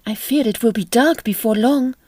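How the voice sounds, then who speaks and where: A woman playing a gentle, anxious young Victorian lady with polite, genteel manners, in a period audio drama. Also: a little high, normal speed, no room sound, lightly acted. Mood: worried. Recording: music behind the voice.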